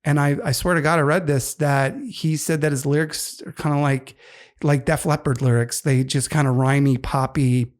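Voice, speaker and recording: A man's voice: fairly high pitch, fairly even intonation, moderate pace. A man in his fifties co-hosting a casual podcast, rambling enthusiastically about music. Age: 50s. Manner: rambling, enthusiastic music talk with many fillers. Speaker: co-host.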